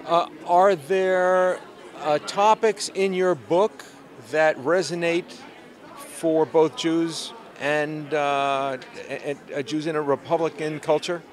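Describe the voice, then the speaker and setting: A man interviewing an author at a convention, asking his questions in a halting way with many fillers. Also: on the high side, steady tone, some chatter behind. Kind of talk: on-the-spot interview. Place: busy convention hall, indoors.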